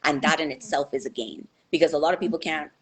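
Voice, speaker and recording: A woman's voice: low-pitched, quick pace, slightly rough, rough recording. A young woman answering interview questions in a thoughtful, hesitant way, with many fillers and restarts. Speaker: guest.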